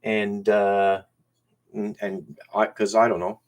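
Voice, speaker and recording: Man, Newfoundland accent, lowish voice, casual live stream host, halting, repeats words. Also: level tone. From Newfoundland, Canada. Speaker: YouTube channel host. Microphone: camera used as webcam.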